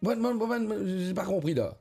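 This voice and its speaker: A man's voice: high voice, animated, normal speed, dark tone, faint music behind. A man with a Mauritian accent hosting a casual, chatty radio phone-in, teasing informally and repeating himself. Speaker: radio host.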